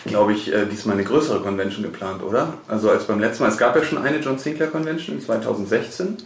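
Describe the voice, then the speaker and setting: Low, gravelly male podcast host in echoey room. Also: quick pace. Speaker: podcast host interviewing staff. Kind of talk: casual on-location interview. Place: indoors in an office. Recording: echoey room, clear background noise.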